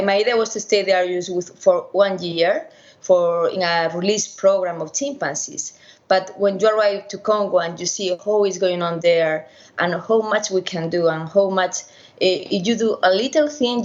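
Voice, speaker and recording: A middle-aged woman with a Spanish accent, a veterinarian answering interview questions haltingly over an internet call. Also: on the low side, muffled recording. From Spain. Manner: halting, many restarts and fillers. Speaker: veterinarian and primatologist guest. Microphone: video call.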